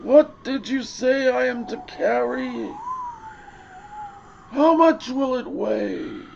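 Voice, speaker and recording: A woman voicing a proud, grumbling old male camel in a storybook, complaining in a drawn-out way. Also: on the high side, gravelly, a little mellow, highs cut off, slight background noise, voice pushed up, heavily acted.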